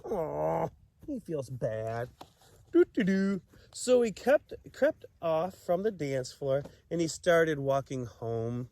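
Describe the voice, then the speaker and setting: A man reading a children's story aloud in a playful, expressive way, with chatty asides and exclamations. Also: on the high side, takes it slowly, gravelly, no room sound.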